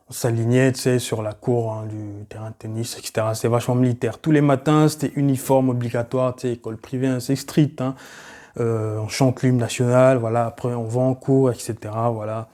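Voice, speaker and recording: A man in his late twenties, originally from Cameroon, chatting casually in a vlog with loose, rambling talk full of fillers. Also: medium pitch. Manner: relaxed and rambling, many fillers like voilà and euh. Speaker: YouTuber.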